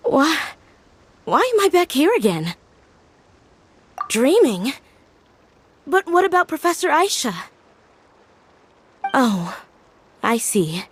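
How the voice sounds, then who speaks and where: A young woman playing an earnest, wistful female scholar in a video game, with a gentle storytelling delivery. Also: sits high, big pitch swings, slow, long pauses, slight background noise, heavily acted. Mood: groggy, confused.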